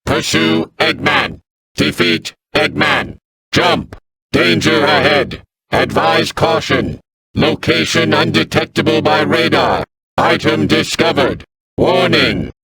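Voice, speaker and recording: A man's voice: a little high, slow, background noise. A man voicing a cold, militant combat robot in a video game, speaking in clipped mechanical phrases through robotic processing. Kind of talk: video game character voice lines.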